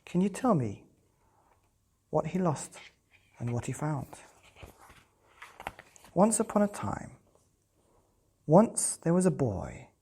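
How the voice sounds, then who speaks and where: Bedtime story reader for kids, really slow n mellow, kinda breathy husky voice. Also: medium pitch. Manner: addresses children directly with questions. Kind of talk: children's storybook narration.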